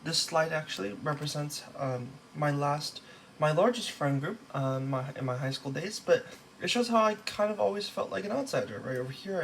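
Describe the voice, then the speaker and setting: A young man giving a recorded class presentation about his slides, casual and halting, with many fillers and restarts. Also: a little high, slight background noise. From Los Angeles, California. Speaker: student presenter.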